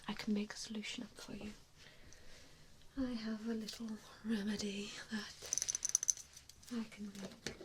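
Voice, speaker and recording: A woman performing a softly spoken ASMR roleplay as a gentle, reassuring vampire mentor, with a soothing, hesitant manner. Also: medium pitch, flat delivery, a little slow, long pauses, background noise.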